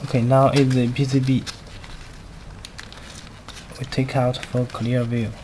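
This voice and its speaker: Halting non-native man demonstrating over noise. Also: mid-range voice, little pitch movement. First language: not a native English speaker. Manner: halting, simple clipped phrasing. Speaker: product demonstrator. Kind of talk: step-by-step spoken product demonstration. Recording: clearly noisy amateur recording.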